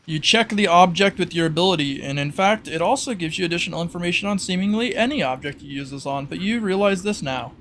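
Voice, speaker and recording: A man with a somewhat high speaking voice runs a tabletop roleplay as game master. He narrates scenes and rules conversationally. There is a light layer of background noise. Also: fast, hardly any pauses, fairly bright tone.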